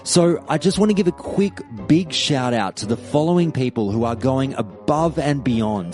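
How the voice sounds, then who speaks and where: A man hosting a podcast, chatting and reading listener emails aloud in a lively, expressive way. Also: mid-range voice, moderate pace, faint music behind. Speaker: podcast host.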